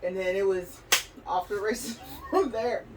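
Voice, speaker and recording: A woman's voice: mid-range voice, a little slow. A young woman chatting casually and candidly about her personal life on a podcast, frank and slangy. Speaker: podcast co-host or guest. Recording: distant mic, noisy room sound.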